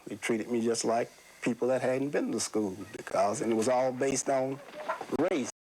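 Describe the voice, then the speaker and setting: An older man, reminiscing in a measured, halting way in a documentary interview. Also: medium pitch, darkish tone. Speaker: interview subject, former teacher. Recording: clearly noisy background.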